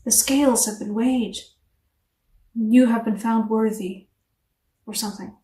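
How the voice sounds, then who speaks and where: A woman's voice: a little high, fairly even intonation, a little slow, dark tone, recorded from a distance. Chatty woman in an echoey room. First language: not English. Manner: chatty, rambling, self-mocking asides. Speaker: tarot reader. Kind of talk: casual tarot reading to camera.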